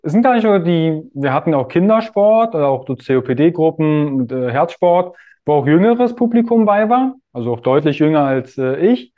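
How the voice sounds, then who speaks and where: A man's voice: on the high side, expressive, normal speed. A man hosting an interview, talking casually and thoughtfully, feeling for his words as he goes. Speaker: podcast host. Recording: dull, lo-fi audio.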